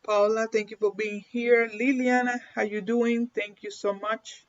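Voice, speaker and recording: A woman's voice: fairly high pitch, flat delivery, measured pace. A woman with a Puerto Rican accent, hosting a live card reading in a chatty way and often checking in with her viewers. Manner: chatty, keeps checking in with "okay?", laughs often. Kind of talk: casual livestream chat and reading. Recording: dull lo-fi stream audio.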